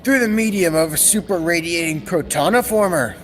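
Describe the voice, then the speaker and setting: A man's voice: fairly high pitch, fairly quick. A young man playing an earnest, proud scientist inventor in an old-time radio serial, explaining things formally. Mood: earnest, explanatory. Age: teenager or young adult. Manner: formal, explanatory, technical delivery. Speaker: student actor. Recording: reverberant hall, steady background noise.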